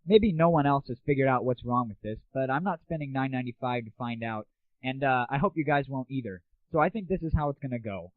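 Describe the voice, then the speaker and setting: A man hosting an internet audio show, delivering a crude, sarcastic and casual comic rant. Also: medium pitch. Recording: narrow, tinny lo-fi internet audio.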